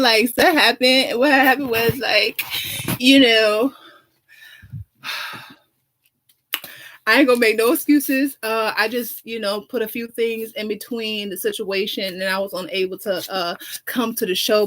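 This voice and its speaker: A woman's voice: a little high, moderate pace, fairly bright tone. A woman hosting her own show in a sassy, flamboyant way, with dramatic exclamations, sighs and self-hyping slang. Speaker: show host. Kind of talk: sassy casual chat with shout-outs and ad reads.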